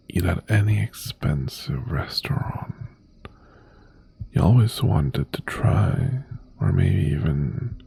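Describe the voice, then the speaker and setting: A man playing an obsessive, possessive mafia boss ex-boyfriend in an intimate ASMR roleplay, tender yet menacing, with a hushed, husky, breathy voice that often drops to a whisper. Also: a little hiss or hum, heavily acted. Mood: longing, pleading. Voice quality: breathy, husky, gravelly low voice.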